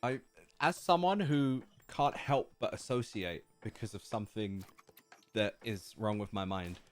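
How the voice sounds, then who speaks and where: A man's voice: on the low side, unhurried. A man hosting a casual livestream, eager and chatty, laughing often as he talks with his guest. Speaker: livestream host.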